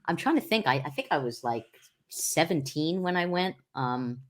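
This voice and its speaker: A middle-aged woman with a deep, low-pitched voice talks as a guest on a podcast. She rambles loosely, circling back with fillers and false starts. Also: expressive, moderate pace. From Long Island, New York. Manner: rambling with many fillers and restarts. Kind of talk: casual interview chat.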